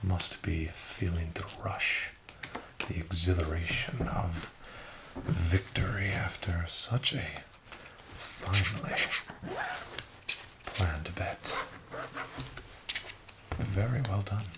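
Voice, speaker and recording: Soft spoken asmr guy being a friendly formal card dealer, deep-ish voice, some clear background noise. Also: fairly even intonation. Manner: formal, polite, measured, encouraging. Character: friendly, courteous male casino card dealer. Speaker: roleplay casino card dealer host. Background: card shuffling and clicks.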